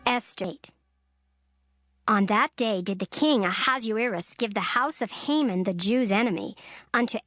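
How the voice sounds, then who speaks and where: A woman narrating a dramatized scripture reading in a measured, formal manner. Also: fairly high pitch, fairly bright tone. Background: music.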